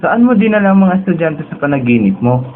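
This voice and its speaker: A man's voice: on the high side, very animated, fast. A young man with a Filipino accent playing a laid-back, teasing dream traveler in a casual radio drama dialogue. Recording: tinny narrow-band audio with music behind.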